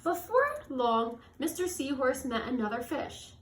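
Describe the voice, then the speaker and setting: A woman reading a children's story aloud with expressive, drawn-out emphasis and short asides. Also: high voice. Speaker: read-aloud host for children. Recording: echoey room with faint noise.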